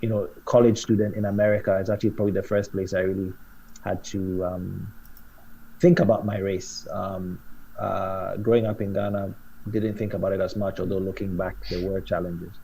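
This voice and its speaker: A man speaking on a video call panel, measured and thoughtful, with frequent pauses and fillers. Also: low voice, little pitch movement, gravelly, mellow, slight background noise, rough recording. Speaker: panelist, scientist. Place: home room with bookshelves.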